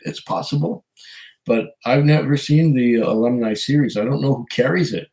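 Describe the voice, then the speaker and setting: A man with a hoarse voice from a cold, hosting a casual live stream, reading viewer comments and chatting in a rambling, hesitant way. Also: medium pitch, moderate pace, darkish tone, low-quality recording. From Canada. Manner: rambling, hesitant, chuckles often. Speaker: whiskey channel host reading live chat.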